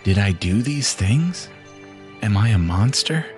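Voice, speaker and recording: A man's voice: lowish voice, lively intonation, measured pace, darkish tone, faint music behind. A man playing a bewildered, volatile asylum patient with a violent streak in an audio drama. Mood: dazed, confused.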